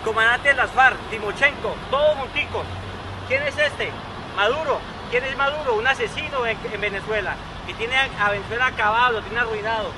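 A man's voice: extremely high pitch, brisk, noisy background. A man at a street protest, emphatically listing accusations in a street interview.

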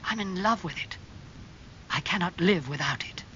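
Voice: mid-range voice, lively intonation, normal speed, pushed and bright, muffled recording, a little hiss or hum, pitched above the performer's own voice. A narrator playing a vain, anguished young man obsessed with beauty in a dramatised audiobook. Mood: urgent, pleading.

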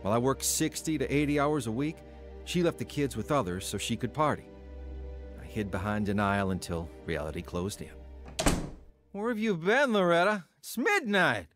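A man's voice: mid-range voice, very animated, moderate pace, over music, lightly acted. An actor playing a troubled, hot-tempered working man recounting his past in a dramatic radio play. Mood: furious. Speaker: narrator and lead actor. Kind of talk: first-person dramatic radio narration and acting.

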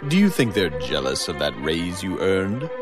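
An actor playing a theatrical horror radio show host in an audio drama, speaking in drawn-out patter. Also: male voice, low voice, sing-song, music in the background. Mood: sinister. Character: smooth male horror-show host Bob, menacing then pleading.

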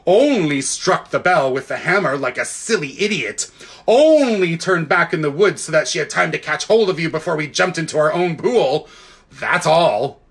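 A man voicing a practical, sharp-tongued young girl in a storybook, with a prim manner. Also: mid-range voice, animated, normal speed, lightly acted. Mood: sarcastic. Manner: prim, sarcastic.

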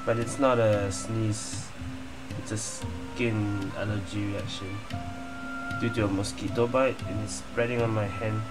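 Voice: medium pitch, steady tone, measured pace, poor audio. A game streamer giving casual, chatty reaction commentary while playing. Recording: loud game music underneath. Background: game music and dialogue.

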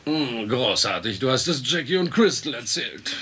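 A voice actor playing a gruff, flustered middle-aged husband and father in a dubbed sitcom, blustering and evasive. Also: mid-range voice, big pitch swings, moderate pace, fairly bright tone. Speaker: voice actor in a German sitcom dub.

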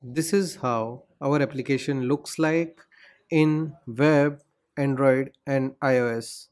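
A man's voice: medium pitch, slow pace. A man hosting a screen-recorded coding tutorial, explaining steps and spelling out terminal commands. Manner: steady, instructional, spells out commands.

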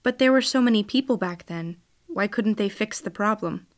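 A woman acting as a curious young female questioner in a science fiction audio drama, at a medium pitch. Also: moderate pace, natural voice.

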